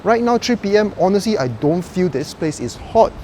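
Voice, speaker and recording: A man's voice: high-pitched, sing-song, moderate pace, a little mellow, a little hiss or hum. A young man with a Malaysian English accent chatting casually as he walks viewers through a residential complex on a property tour vlog. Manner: chatty, upbeat, colloquial with "lah" tags. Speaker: property vlogger. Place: outdoors and in a residential complex, walking around.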